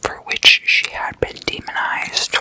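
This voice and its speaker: Asmr host whispering all breathy and slow, casual sleepover chat, crisp bright hiss to it. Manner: slow, hushed, casual and conversational. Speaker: host of an ASMR roleplay series.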